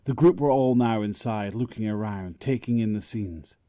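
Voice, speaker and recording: A man narrates a dramatic scripted story. His voice is fairly low and soft in tone, recorded dry with no room sound. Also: moderate pace, dry recording.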